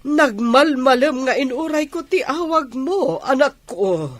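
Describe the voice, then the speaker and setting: Slow, expressive, lonely mother. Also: sits high, no echo. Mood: tender. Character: lonely mother longing for her faraway child. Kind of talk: Ilocano radio drama acting.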